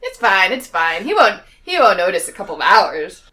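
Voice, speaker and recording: A woman's voice: mid-range voice, big pitch swings, normal speed, sharp tone. A woman chatting casually and improvising as a player in a tabletop game, with a dry, joking manner.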